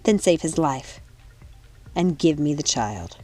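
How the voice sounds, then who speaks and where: A woman voicing a cruel, commanding witch matriarch in an audiobook, speaking with deliberate, calm menace. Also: low-pitched, soft background music, voice pushed down, heavily acted. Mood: cold demand. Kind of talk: audiobook villain dialogue.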